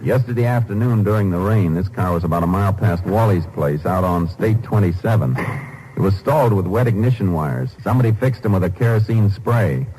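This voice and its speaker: An actor playing a calm, shrewd Texas Ranger investigator in a measured, laconic 1950s radio drama style. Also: male voice, deep voice, breathy edge, rough recording. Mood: shrewd probing. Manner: measured, probing, deadpan. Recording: old 1950s radio broadcast recording.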